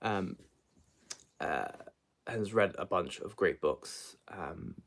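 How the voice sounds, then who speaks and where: Low-voiced man rambling casually about books. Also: expressive, takes it slowly, creaky, fairly bright tone, slight background noise. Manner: rambling, hesitant, trails off mid-thought. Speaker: book vlogger hosting a livestream. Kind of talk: unscripted casual book chat.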